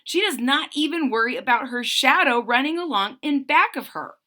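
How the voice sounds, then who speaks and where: A woman reading a children's story aloud in an expressive style, with simple retelling asides. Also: high-pitched, normal speed.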